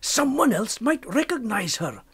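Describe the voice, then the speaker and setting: A man's voice: extremely high pitch, big pitch swings, measured pace, fairly bright tone, husky, voice pushed up, full character voice. Audiobook role: horrified young male student, raised voice, emphatic protests, acted by a man. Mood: anxious. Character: agitated, horrified young medical student, male, earnest and alarmed.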